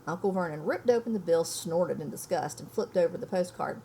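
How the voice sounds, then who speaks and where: A woman reads a children's story aloud with very lively, swinging intonation. Her delivery is explanatory and informal, as if guiding young listeners. There is faint noise behind her voice. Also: lowish voice, a little fast, gravelly. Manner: explanatory, checks understanding with listeners. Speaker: reader reading a children's book aloud. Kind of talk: expressive read-aloud with informal explanatory asides.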